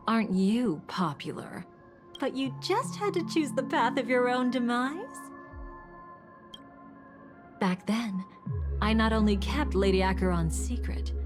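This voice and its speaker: A voice actress playing a sly, seductive, villainous femme fatale in a video game, taunting in a drawn-out, theatrical way. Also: female voice, mid-range voice, animated, music in the background, lightly acted. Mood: teasing.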